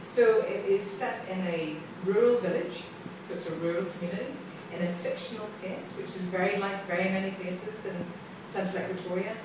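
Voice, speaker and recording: A woman's voice: medium pitch, steady tone, normal speed, few pauses. A woman explaining a radio drama project in an interview, enthusiastic and explanatory, recorded on a phone camera in an office. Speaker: country director. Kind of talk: interview answers. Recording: echoey room recorded from far off, noisy.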